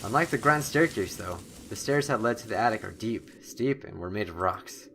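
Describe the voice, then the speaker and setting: A man doing let's-play commentary, reading game text aloud dramatically with expressive, reactive asides. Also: fairly low pitch, moderate pace. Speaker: gamer, let's-play host. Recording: clearly noisy background.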